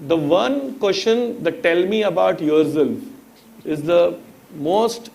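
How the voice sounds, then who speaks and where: A man's voice: high-pitched, slow pace, vocal fry, a little mellow. A man in his thirties with an Indian accent, speaking as a guest in a motivational talk, teaching through stories and rhetorical questions. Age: 30s. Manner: didactic, storytelling, rhetorical questions.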